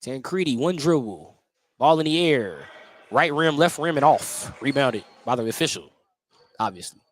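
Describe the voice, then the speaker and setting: A man doing live radio play-by-play of a high school basketball game, describing the action in clipped running phrases. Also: big pitch swings, normal speed, no echo. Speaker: play-by-play announcer.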